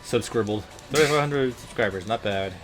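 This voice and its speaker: A man's voice: mid-range voice, a little fast. A man co-hosting a let's play, bantering casually and reacting in an informal way. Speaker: gaming video co-host. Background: video game music.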